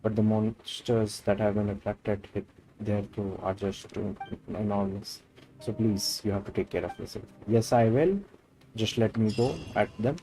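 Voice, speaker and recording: A man's voice: on the low side, steady tone, normal speed, mellow, slight background noise, poor audio. An Indian man with an Indian accent, a native Hindi speaker, chatting casually as a game streamer and reading dialogue aloud. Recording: game music behind the voice. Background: video game music.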